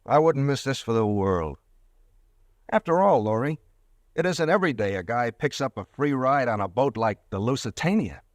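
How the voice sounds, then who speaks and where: A man's voice: medium pitch, lively intonation, lightly acted. A man playing a cocky young pharmacist turned killer. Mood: swaggering. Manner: swaggering, taunting, quick-shifting. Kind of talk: 1940s radio drama acting.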